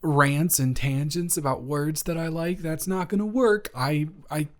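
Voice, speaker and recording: A man's voice: a little high, unhurried, lightly acted. A man playing a flustered, befuddled young guy in an improvised roleplay audio, stammering and rambling as he talks to the listener. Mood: flustered, confused. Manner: stammering, rambling, awkwardly backtracking.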